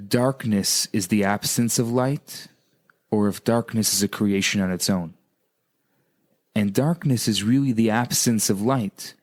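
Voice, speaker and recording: Rabbi on talk radio, male, mid-pitched, earnest explainer. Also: a little mellow. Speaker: rabbi and co-host.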